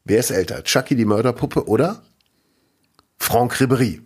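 A man's voice: medium pitch, moderate pace, breathy edge. A man co-hosting a casual podcast and running a quiz, chatty and rambling, often laughing as he talks. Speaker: podcast co-host and quizmaster.

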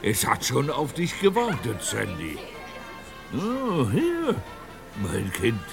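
A man's voice: wildly swooping pitch, vocal fry, exaggerated voice. A man playing a jolly, grandfatherly department-store Santa Claus in a theatrical audio drama. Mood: jolly, inviting. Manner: theatrical, slow booming ho-ho-ho delivery. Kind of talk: German audio drama acting. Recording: music behind the voice.

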